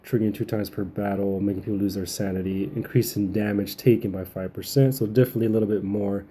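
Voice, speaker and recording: A man's voice: on the low side, steady tone, fast, dark tone, slight background noise. A man getting over a cold, giving casual, rambling video game update commentary as a channel host.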